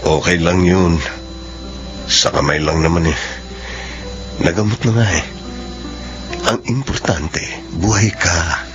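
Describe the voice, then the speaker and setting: A man's voice: low voice, slow, long pauses. An actor playing a loving young man who protects his sweetheart, tender and earnest in a radio drama. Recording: dull lo-fi recording with music.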